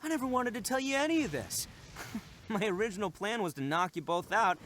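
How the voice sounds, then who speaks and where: A man's voice: high voice, expressive, a little fast, a bit bright, a little hiss or hum. A man playing a proud, brash, earnest young oni gang leader in a video game, speaking emphatically. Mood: candid, serious. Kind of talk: scripted video game voice acting.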